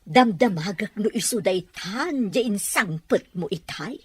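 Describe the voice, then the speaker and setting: Haughty middle-aged rich mother, husky, animated pitch, theatrical, radio drama. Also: measured pace, dry recording. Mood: suspicious. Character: wealthy, haughty middle-aged mother, class-conscious yet indulgent. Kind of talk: Filipino radio drama acting.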